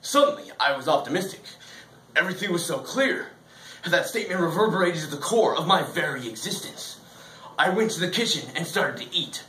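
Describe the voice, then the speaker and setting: A man's voice: fairly high pitch, lively intonation, brisk, bright, forceful tone. A man performing a comic stage monologue as a hugely obese, grandiose philosopher, speaking in a theatrical, mock-solemn way. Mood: fervent, exultant. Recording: roomy, distant mic, faint noise.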